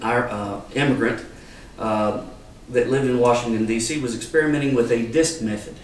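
An older man gives an informal, folksy explanatory talk as an archivist demonstrating to a small group. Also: medium pitch. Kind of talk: informal live lecture. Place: wood-paneled salon with small audience. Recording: echoey room, distant mic, faint noise.

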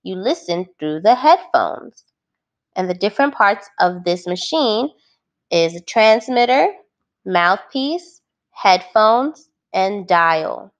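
Female primary teacher for a children's read-aloud: mid-pitched, very animated intonation, clear instructive phrasing. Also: takes it slowly, highs cut off. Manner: clearly phrased, instructive, addressing young listeners.

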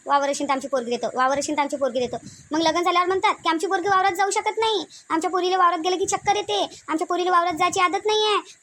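A woman plays a middle-aged cartoon village wife with a sharp tongue and a Varhadi accent from Vidarbha. The voice is extremely high and the words come quickly. She nags and grumbles, piling up scornful rhetorical questions. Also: slight background noise. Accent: Varhadi Marathi of Vidarbha. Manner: complaining, scolding, rhetorical questions. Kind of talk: comic cartoon dialogue.

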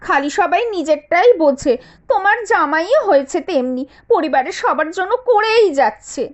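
A woman voicing a spoiled, sharp-tongued young daughter-in-law from a rich family in a cartoon, scornful, complaining and demanding. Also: very high voice, animated, normal speed. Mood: whiny. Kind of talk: Bengali cartoon voice acting. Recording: dull lo-fi audio with music behind.

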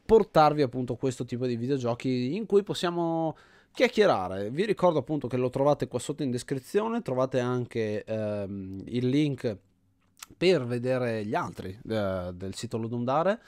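An Italian man gives casual commentary as he plays. His voice sits at an average male pitch and is recorded dry, with little room sound. He reasons out loud as he goes, often doubling back on his own words. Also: animated, normal speed, dry recording. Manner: thinks aloud, often repeating himself. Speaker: host of an indie game video series.

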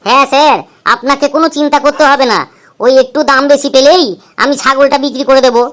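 A woman's voice: sits high, fairly even intonation, quick pace, lightly acted. A woman voicing a cheeky, evasive schoolboy in a comedy cartoon, giving silly answers earnestly.

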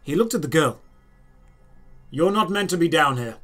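Man, audio drama narrator, slightly high pitch, scripted first-person storytelling, measured and deliberate phrasing. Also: lively intonation, fairly bright tone, faint music behind.

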